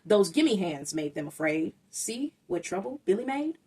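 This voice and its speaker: A woman's voice: medium pitch, big pitch swings, normal speed, warm tone, breathy edge, distant mic. A woman reading a rhyming children's story aloud, expressively and with dramatic emphasis. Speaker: storybook reader.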